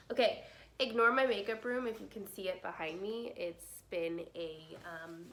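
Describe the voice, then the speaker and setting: Young woman vlogging with a cold, bright tense voice, bit of faint hiss, small room at home. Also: medium pitch. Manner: chatty, drops to soft whispers while applying products. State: congested with a cold. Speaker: beauty vlogger. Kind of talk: casual makeup tutorial. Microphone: camera in front at close range.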